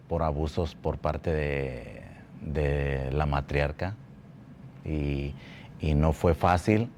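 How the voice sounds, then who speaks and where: A man from Mexico telling his life testimony at a church, speaking slowly and haltingly, often repeating words. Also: very deep voice, a little mellow, slight background noise. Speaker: guest giving testimony. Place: church building.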